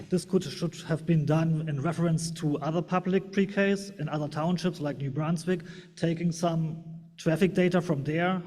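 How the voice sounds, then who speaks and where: A man's voice: a little high, dark tone. A man with a German accent, a German native speaker, earnestly giving a public comment at a board meeting in slightly non-native phrasing. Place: large meeting hall. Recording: long hall reverb.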